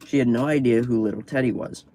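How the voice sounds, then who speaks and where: Give me a hoarse man of ordinary pitch telling creepy stories outside at night into his phone, cursing casually. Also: fairly even intonation, fast, mellow, slight background noise. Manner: swears freely, stumbles over words. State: losing his voice. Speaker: live streamer reading horror stories. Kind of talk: casual live-streamed story reading.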